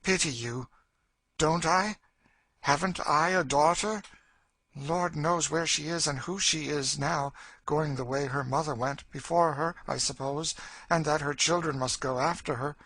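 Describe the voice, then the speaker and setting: A man's voice: a little high, measured pace, sharp tone, voice pushed up, heavily acted. A man voicing a bitter, despairing, world-weary enslaved woman in an audiobook, dry and emphatic in manner. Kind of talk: audiobook character acting.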